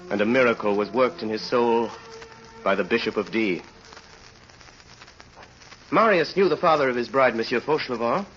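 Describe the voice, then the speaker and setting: An actor as a solemn storyteller, narrating a vintage radio drama in a measured, dramatic way. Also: male voice, medium pitch, fairly bright tone, close to an everyday voice. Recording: muffled old radio recording.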